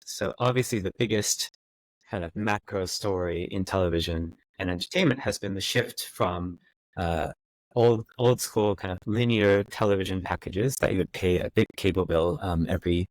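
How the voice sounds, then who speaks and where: Deep-voiced American man, dry close sound. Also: moderate pace, no echo, rough recording. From United States. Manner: thoughtful, frequent fillers and restarts. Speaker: guest. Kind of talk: conversational interview.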